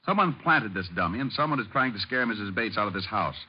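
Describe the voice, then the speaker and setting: An actor playing a wry, cool-headed American private detective in an old-time radio crime drama, bantering breezily. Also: male voice, fairly low pitch, expressive, quick pace, lightly acted. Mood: intent. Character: wisecracking, cool-headed male private detective in a spooky mystery. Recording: noisy, muffled old radio recording.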